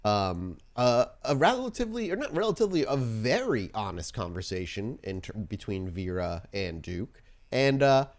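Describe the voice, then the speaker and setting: A man hosting and narrating a tabletop roleplaying podcast as storyteller, informal in chat and deliberate when setting scenes. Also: fairly low pitch, big pitch swings. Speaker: podcast host and game storyteller.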